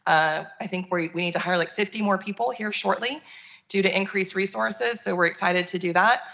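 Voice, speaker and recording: A woman's voice: mid-range voice, fairly quick, gravelly, bright, forceful tone, lo-fi sound. A woman giving a conversational, earnest keynote talk over a video call. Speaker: keynote speaker, nonprofit chief executive.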